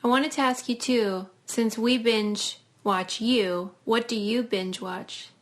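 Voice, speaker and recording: A woman's voice: fairly high pitch, steady tone, slow pace. A woman hosting a podcast, asking questions in an upbeat, encouraging way. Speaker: podcast host. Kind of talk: casual chat.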